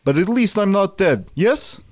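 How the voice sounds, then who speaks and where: A man voicing a naive, earnest Bulgarian gamer who speaks halting, broken English with a Bulgarian accent and searches for words. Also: high voice, expressive, dry recording, studio quality, pitched above the performer's own voice. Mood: earnest, blunt.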